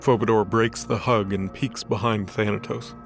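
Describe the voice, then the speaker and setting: A man with a fairly low voice narrates a scripted story. He reads descriptive prose as the narrator of an audio drama, with light music underneath. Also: steady tone, moderate pace, creaky. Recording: soft background music bed.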